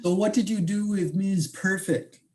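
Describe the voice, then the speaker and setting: A woman's voice: medium pitch, normal speed, darkish tone, lightly acted. A woman acting a prying, incredulous close friend in a conversational comic one-act, with teasing, probing questions.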